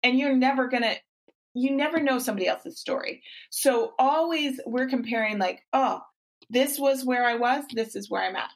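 A woman's voice: sits high, normal speed. A woman in her forties hosting a podcast as a fitness coach, talking in a casual, encouraging way with frequent restarts. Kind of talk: casual interview chat.

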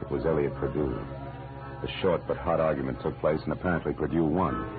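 A male actor with an American accent playing a wry, deadpan private detective in a 1940s radio noir drama. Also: very deep voice, a little fast. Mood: wry, matter-of-fact. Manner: deadpan, wisecracking. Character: wry, hard-boiled male private detective narrating his case. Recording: muffled old radio recording, music behind.

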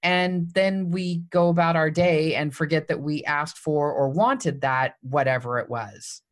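A woman's voice: sits low, steady tone, unhurried. A woman hosting a podcast interview, encouraging her guest and reflecting the guest's story back to her in a conversational way. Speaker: podcast host.

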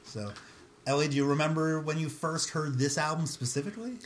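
A man's voice: fairly high pitch, brisk, a little hiss or hum. A man hosting a casual panel discussion in a conversational, chatty way. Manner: conversational, prompting others with questions. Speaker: host of music album discussion.

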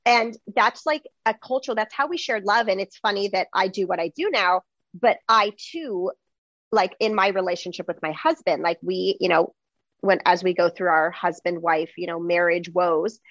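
A woman host in a casual conversation, chatty and animated, with lots of fillers. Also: on the low side, moderate pace, dry recording, dull audio. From United States.

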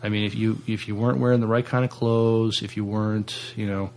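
A man with a fairly low voice and plenty of vocal fry chats as a podcast co-host. He is hesitant and feels his way through each point, often rewording it. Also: level tone, a little fast, darkish tone. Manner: hesitant, self-correcting. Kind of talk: casual chat discussion.

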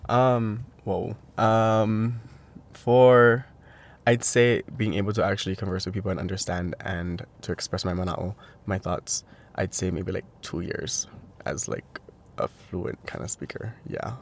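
A man's voice: sits low, fairly even intonation, unhurried. A young man from Hawaii answering interview questions, hesitant with many fillers and restarts. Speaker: interviewee, language teacher.

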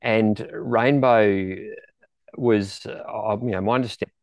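Aussie bloke interviewing casually, kinda halting, low-ish voice, very dry sound. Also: male voice, steady tone, no room sound. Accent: Australian. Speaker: podcast co-host.

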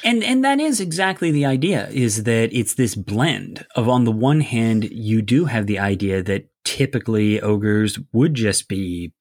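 A man's voice: lowish voice, animated, measured pace, few pauses, a little mellow, dry recording, clean studio sound. A man talking casually about a fantasy setting, explaining ideas at length with many fillers. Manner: rambling, filler-heavy, explanatory. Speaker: game designer discussing a setting. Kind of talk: casual discussion.

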